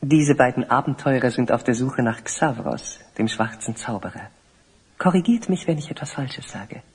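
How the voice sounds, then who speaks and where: A dignified older parent and host, measured and hospitable. The voice is very hoarse and airy at a middling pitch, in formal audio-play delivery. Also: male voice, animated, a little mellow. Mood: courteous. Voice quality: very husky, breathy. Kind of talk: German fantasy audio play acting.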